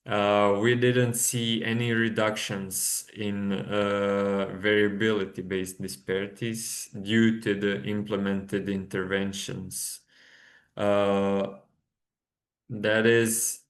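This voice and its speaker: A man from Croatia giving an academic presentation over a video call, speaking slowly and haltingly with many filler sounds. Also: fairly low pitch. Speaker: conference presenter. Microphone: computer mic on a video call.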